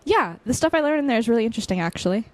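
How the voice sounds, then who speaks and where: A woman's voice: on the high side, very animated, very fast. A woman playing a playful young college student in a naturalistic audio drama, talking in a chatty, informal way. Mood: casual. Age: young adult.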